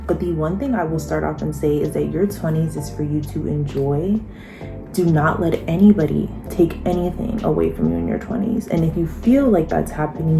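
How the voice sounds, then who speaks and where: Low-voiced woman in her twenties, chatty. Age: 20s. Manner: chatty and emphatic, frequent "okay?" and "y'all". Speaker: vlogger giving life advice. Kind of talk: casual advice vlog to camera.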